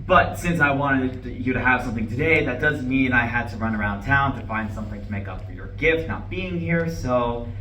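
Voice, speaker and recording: A man acts out an earnest office-worker husband in a stage play, eagerly explaining himself and faltering as he goes. His voice is bright and forward. It is picked up from a distance in an echoey room with faint noise. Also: mid-range voice, normal speed, hardly any pauses, natural voice. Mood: defensive, flustered. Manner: hesitant, eager, over-explaining. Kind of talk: live stage play reading.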